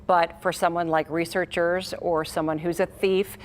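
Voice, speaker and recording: A woman's voice: lowish voice, level tone, normal speed, slight background noise. American woman reporting consumer news. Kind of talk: scripted news report and studio chat.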